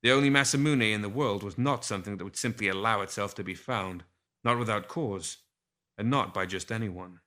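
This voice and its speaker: Bright, low-voiced man reading fiction. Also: a little fast. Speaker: story reader. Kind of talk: audiobook fiction narration.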